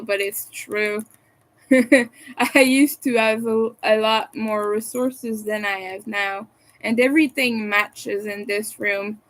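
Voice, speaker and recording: A French Canadian woman from Montreal chatting casually on a crochet livestream, answering her chat in a soft, hesitant way with many fillers and small chuckles. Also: on the high side. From Montreal, Quebec, Canada. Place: her own room at home.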